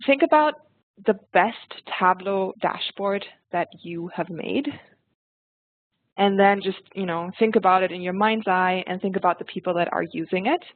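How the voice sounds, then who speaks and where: A woman from Germany with a German accent, presenting a software tutorial webinar in a friendly, explanatory way and speaking straight to her audience. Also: mid-range voice, moderate pace, no echo. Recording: dry tinny phone-like line.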